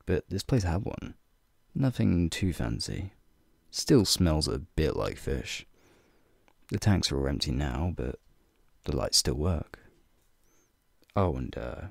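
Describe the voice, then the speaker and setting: A man playing a flirty, teasing werewolf guide in an ASMR roleplay, talking softly and playfully to the listener in a gravelly, breathy voice. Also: no echo, studio quality, exaggerated voice. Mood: playful, casual. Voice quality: deep, gravelly, breathy low voice. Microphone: close microphone.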